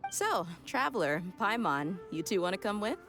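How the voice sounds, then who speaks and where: A woman's voice: mid-range voice, sing-song, moderate pace, fairly bright tone, soft background music. A woman voicing a confident, easygoing, friendly female fighter in an anime video game. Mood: breezy, friendly. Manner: casual, assured.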